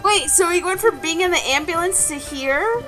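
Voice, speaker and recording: A woman's voice: extremely high pitch, normal speed, music in the background. A woman co-hosting a movie reaction, chatting casually with exclamations of surprise.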